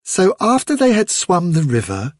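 Male reader as mother rabbit, high voice, lilting storyteller, animated intonation, storybook fairy tale. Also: normal speed, no echo, studio quality, exaggerated voice. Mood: rapt, storytelling.

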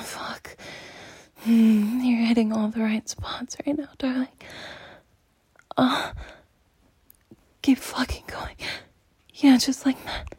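Breathy, whispering woman, slow and intimate. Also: a little high, a little mellow, dry recording. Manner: slow, intimate, teasing, sighing. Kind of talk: intimate erotic audio roleplay, ASMR-like whispering.

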